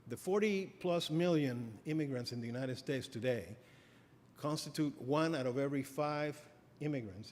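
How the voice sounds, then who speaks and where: A middle-aged man giving an academic lecture as a professor, halting with many fillers and restarts. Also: medium pitch, darkish tone. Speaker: professor giving a keynote address. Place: lecture hall. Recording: reverberant hall, faint ambience.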